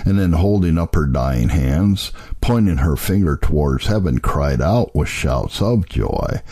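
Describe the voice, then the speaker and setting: A man's voice: a bass voice, expressive, measured pace, warm tone, slightly rough. A man reading an old devotional text aloud as a solemn, scripted audiobook narration. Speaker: narrator reading historical religious texts.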